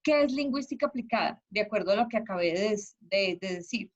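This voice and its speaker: A woman's voice: mid-range voice, expressive, fairly quick. A woman with a Colombian accent lecturing an online class as its teacher, encouraging and checking understanding with questions. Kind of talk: interactive lecture. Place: online video class with slides. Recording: dull, lo-fi online class audio.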